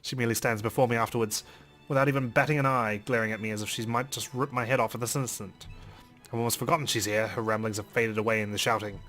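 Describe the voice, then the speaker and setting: A man's voice: medium pitch, fairly even intonation, fast, a bit bright, soft background music. A man hosting a let's play, reading a game's narration aloud with some drama.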